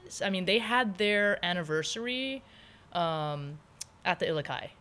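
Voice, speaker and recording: A woman from Hawaii hosting a podcast, talking loudly and freely with plenty of opinions. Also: fairly low pitch, lively intonation, unhurried, clear, resonant tone. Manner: loud, opinionated, talkative. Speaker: podcast host. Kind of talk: casual chat.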